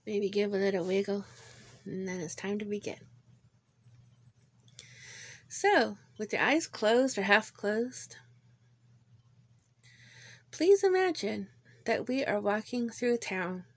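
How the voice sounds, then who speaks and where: A woman leading a guided visualization in a gentle, informal way, pausing between short phrases. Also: mid-range voice, animated. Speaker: guided meditation leader. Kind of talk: guided meditation, visualization narration.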